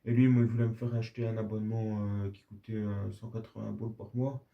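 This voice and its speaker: A man's voice: on the low side, little pitch movement, quick pace, vocal fry. Casual Belgian French man, slightly dull sound. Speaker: amateur radio user and video maker. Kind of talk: casual two-way radio chat.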